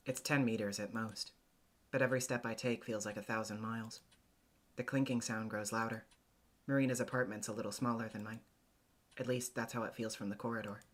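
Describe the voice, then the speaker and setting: A man narrating a visual novel in the first person, acting it out in an introspective, hesitant, deliberate way. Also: fairly low pitch. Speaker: voice actor, narrator.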